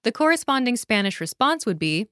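A woman narrating a scripted language lesson in a clear, measured, instructional way, recorded close to a studio mic. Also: fairly high pitch, big pitch swings, fairly bright tone, dry recording. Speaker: course narrator.

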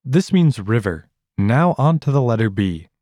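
American male podcast host, animated pitch, warm mellow voice, scripted lesson. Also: normal speed, no room sound, clean studio sound. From United States.

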